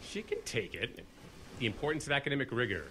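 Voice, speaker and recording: A man's voice: mid-range voice, expressive, fast talker, sharp tone, a little hiss or hum. A man acting a blunt, suspicious outdoorsman in an audio drama, with a sarcastic streak. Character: suspicious, blunt outdoorsman named Giff, a monster witness.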